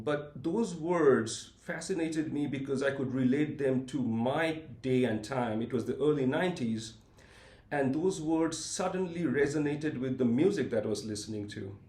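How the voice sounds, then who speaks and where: A middle-pitched man, a professor recounting his path in a reflective interview. He talks in a considered, unhurried way, often pausing on small fillers. The room gives his voice a noticeable echo. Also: a little mellow. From India (Calcutta). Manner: thoughtful and measured, with frequent hesitant fillers. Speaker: university professor, guest. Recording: roomy echo.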